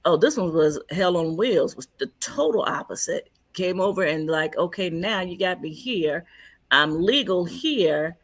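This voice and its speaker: Husky low-voiced woman telling a story. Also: lively intonation, unhurried, no room sound. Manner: frank, plain-spoken storyteller. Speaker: panelist. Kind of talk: casual panel chat.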